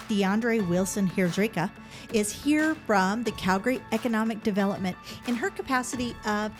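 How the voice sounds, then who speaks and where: Woman, podcast host, effusive, enthusiastic, casual chat, faint music behind. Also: fairly high pitch.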